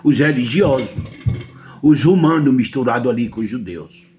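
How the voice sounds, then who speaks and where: A man's voice: mid-range voice, animated, normal speed, mellow, faint music behind. A man from Brazil with a Brazilian Portuguese accent, preaching a sermon emphatically and often asking his listeners whether they understand.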